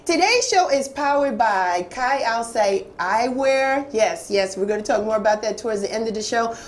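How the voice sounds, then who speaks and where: A woman's voice: on the high side, expressive, normal speed, a little hiss or hum. Upbeat woman hosting a talk show. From Detroit. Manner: upbeat, enthusiastic. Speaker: host. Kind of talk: casual interview.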